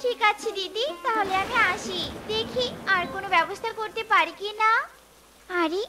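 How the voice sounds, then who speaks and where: A woman's voice: very high voice, moderate pace. A woman voicing a kind, earnest young female bird in a children's cartoon, sweet and polite. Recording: loud children's music underneath.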